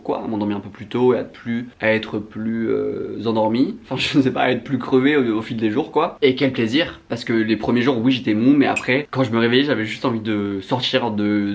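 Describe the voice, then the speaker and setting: A man's voice: on the low side, creaky, lo-fi sound. A young man with a French accent from France, chatting candidly to viewers in a slangy, self-mocking, confessional vlog style. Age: young adult. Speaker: vlogger.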